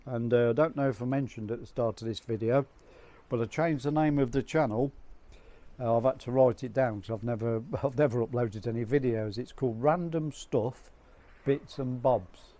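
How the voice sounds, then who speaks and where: Hoarse man chatting casually. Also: mid-range voice, normal speed, warm tone. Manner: rambling, chatty, with restarts. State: hoarse from a cold. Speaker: vlogger. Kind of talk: casual chat to camera.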